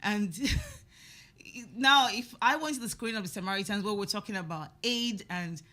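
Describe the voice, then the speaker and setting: A woman's voice: mid-range voice, normal speed. A woman from Africa hosting a talk show, chatting and laughing often as she questions her guests. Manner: laughs often, animated and teasing. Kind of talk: casual interview chat.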